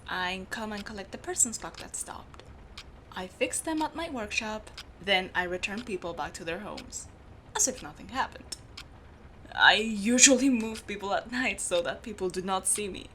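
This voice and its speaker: A woman playing a meticulous, secretive steampunk clock repairer in an audio roleplay, explaining things patiently and in a measured way to the listener. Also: medium pitch, fairly bright tone, a little hiss or hum, close to an everyday voice. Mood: calm explaining. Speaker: amateur voice actor.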